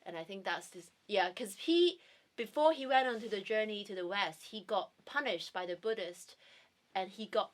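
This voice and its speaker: A woman's voice: mid-range voice, moderate pace. A young woman from Hong Kong, a guest in an interview, talking casually about her acting work with many fillers and restarts. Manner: chatty, many fillers and restarts, laughs easily. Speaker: guest, actor and drama teacher.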